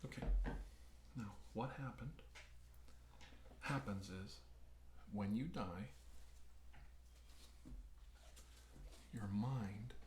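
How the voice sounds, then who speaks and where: Hushed, soothing male doctor played by a man. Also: on the low side, echoey room, slight background noise. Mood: hushed, explaining. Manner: soothing, hushed, slow and gentle, often whispering. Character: calm, reassuring male doctor treating an alien-abduction patient. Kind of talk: ASMR medical roleplay, soft-spoken and whispered.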